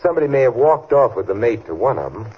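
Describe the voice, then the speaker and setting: An actor playing a wry, protective husband and amateur sleuth in vintage radio drama style, with a dry, wisecracking manner. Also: male voice, mid-range voice, sing-song, quick pace, slightly rough. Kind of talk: 1940s-50s radio drama acting. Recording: dull, noisy old radio recording.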